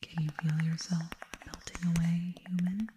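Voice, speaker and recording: A woman voicing a serene, otherworldly alien hypnotist in an ASMR roleplay, speaking in a soft, breathy whisper with deliberate, soothing phrasing. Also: fairly low pitch, little pitch movement, roomy sound, background noise, close to an everyday voice. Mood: soothing, reassuring. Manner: hushed, slow, deliberate, repeating soothing words.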